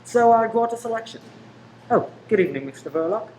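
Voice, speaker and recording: A woman's voice: on the high side, animated, fairly quick, darkish tone, slight background noise, close to an everyday voice, poor audio. A woman playing a cheeky, chatty undercover detective posing as a greengrocer's man in an amateur radio play.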